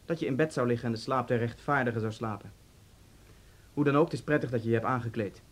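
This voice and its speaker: An actor in a Dutch radio play voicing a suave, talkative police officer with an urbane, polite manner and sly menace. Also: male voice, mid-range voice, quick pace, lightly acted. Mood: smoothly pressing.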